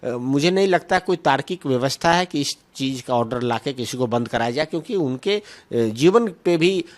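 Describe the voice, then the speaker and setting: A man's voice: mid-range voice, lively intonation, normal speed. A man speaking as a party spokesman, answering questions argumentatively with emphatic repetition and English terms mixed in. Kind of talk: political press remarks, answering questions.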